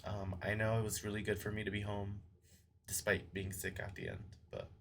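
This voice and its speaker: A man's voice: fairly low pitch, monotone, moderate pace, fairly bright tone, slight background noise. A young man streaming a game and chatting with viewers, his voice weak from a recent illness and a lingering cough. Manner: chatty, friendly, greets viewers by name. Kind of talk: casual chat with live chat.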